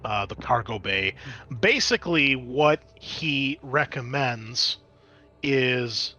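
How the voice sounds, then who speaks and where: A man's voice: medium pitch, sharp tone, highs cut off. A man acting as game master, explaining the scenario and rules of a tabletop roleplaying game in a conversational, improvised way.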